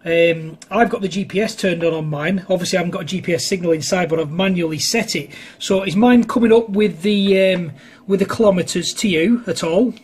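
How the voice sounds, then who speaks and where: A man's voice: sits high, fairly quick, slight background noise. A man chatting casually on amateur radio, rambling and hesitant as he goes. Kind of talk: casual two-way radio chat. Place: indoors at a home radio station.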